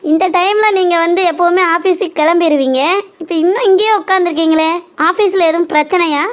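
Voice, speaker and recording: A woman's voice: very high-pitched, fairly quick. A woman voicing a suspicious, businesslike wife in a cartoon parody, questioning her husband firmly. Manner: questioning, firm.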